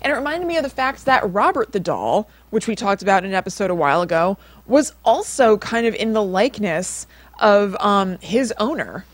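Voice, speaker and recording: A woman's voice: medium pitch, lively intonation, moderate pace. A woman co-hosting a podcast, telling stories in a chatty, informal way peppered with "like". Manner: chatty, informal, frequent use of "like". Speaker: podcast co-host. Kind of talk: casual podcast storytelling.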